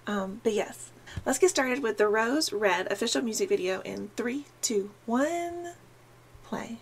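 A woman's voice: a little high, lively intonation, unhurried. Young woman, scratchy nasal morning voice, chatty and friendly, bedroom vlog on earphone mic. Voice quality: slightly nasal. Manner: chatty, friendly, frequent ums. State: scratchy morning voice, sinus infection. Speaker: music reaction vlogger. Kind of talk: casual chat to camera. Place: small decorated bedroom, indoors.

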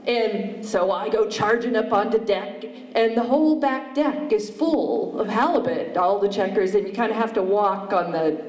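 A woman's voice: medium pitch, moderate pace, hardly any pauses, a little mellow. A woman telling a fishing-life story as a panelist on a stage. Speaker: fisherwoman and panelist. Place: auditorium stage, recorded from audience distance. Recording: echoey hall from far off.

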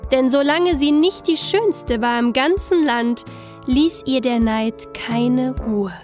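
A woman's voice: high voice, darkish tone. A woman narrating a scripted fairy tale expressively, with careful storytelling phrasing.

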